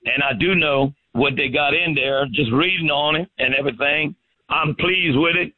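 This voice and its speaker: A folksy man with a slightly high voice chats through a customer testimonial. He is plain-spoken, on a narrow telephone-like line. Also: moderate pace, no echo. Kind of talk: casual anecdotal testimonial. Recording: dry, narrow phone-like line.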